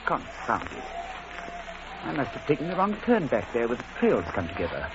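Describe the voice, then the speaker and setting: An actor playing a shy, fussy middle-aged British schoolmaster in an old radio drama, speaking in a hesitant, stammering, formal way. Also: male voice, medium pitch, huge pitch swings, normal speed. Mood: anxious, grumbling. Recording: dull, faintly noisy vintage radio recording.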